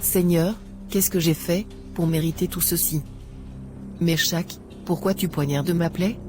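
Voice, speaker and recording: A woman playing a meek, wounded young wife who pleads plaintively in an animated moral drama. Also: on the low side, a little slow, music in the background, lightly acted. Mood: distressed.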